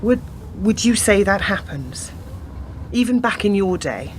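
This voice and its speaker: A woman's voice: mid-range voice, big pitch swings, unhurried. A woman playing an earnest, stubborn young policewoman in a radio play, speaking persuasively. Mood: questioning, determined. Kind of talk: scripted radio play acting. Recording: community radio drama with clear background noise.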